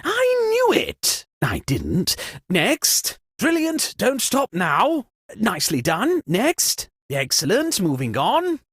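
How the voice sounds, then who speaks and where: A theatrical gentleman of wealth and titles, pompous and easily aghast. His pitch leaps wildly between droll murmurs and exclamations, with a husky edge, recorded very dry, like a comedic game character. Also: huge pitch swings, slow pace, no echo, full character voice. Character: pompous, dramatic landed-gentry party host, theatrically aghast. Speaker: game character hosting a murder mystery party.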